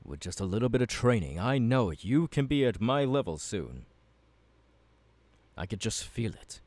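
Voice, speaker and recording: A man's voice: lowish voice, sing-song, moderate pace, a little mellow, no echo. A man playing a passionate, courteous young warrior mentor in an ASMR audio roleplay, speaking in an earnest, formal and encouraging way. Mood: reassuring.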